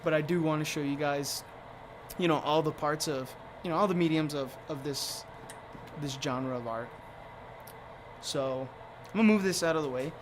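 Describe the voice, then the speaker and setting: A man with a slightly high voice roughened into a raspy, low rasp by allergies talks loosely to his viewers. He wanders through fillers and restarts as he demonstrates a casting process. A faint background noise sits under the voice. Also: moderate pace. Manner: rambling, with many fillers and restarts. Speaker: sculptor demonstrating mold casting. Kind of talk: casual livestream talk to viewers.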